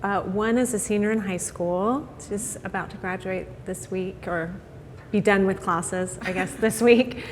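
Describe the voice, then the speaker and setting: A woman's voice: mid-range voice, unhurried, a little hiss or hum. Friendly woman guest with long reverb. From Scotland, raised in England. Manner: friendly, modest, laughs lightly. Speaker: interview guest, church member. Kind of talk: casual conversation.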